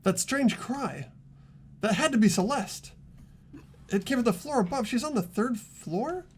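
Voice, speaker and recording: Earnest teenage hero, played by a man, mid-high youthful register, lively intonation, worried, kind, game dub. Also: unhurried, breathy edge, full character voice. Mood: alarmed. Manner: earnest, hesitant. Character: earnest, anxious, kindly teenage boy protagonist. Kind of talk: amateur game voice acting and narration.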